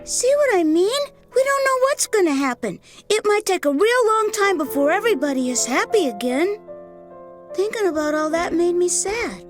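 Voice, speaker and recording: Sweet worried little game girl, really high voice, earnest n hesitant, goes up and down a lot. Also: sing-song, moderate pace, soft background music. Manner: hesitant, soft-hearted, earnest. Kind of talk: animated video game voice acting.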